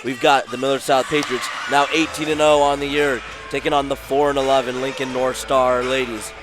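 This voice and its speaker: A man doing play-by-play at a basketball game, his voice sore and worn from illness, calling the action in short brisk bursts. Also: medium pitch, level tone, over music. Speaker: play-by-play announcer. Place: school gym courtside. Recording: loud crowd and gym noise behind.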